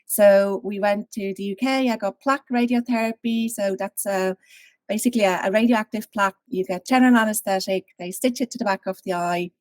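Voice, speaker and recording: A woman in her thirties, originally from Switzerland, speaking as an interview guest and telling a personal story in a candid, animated way over a video call. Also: medium pitch, a little fast, no room sound.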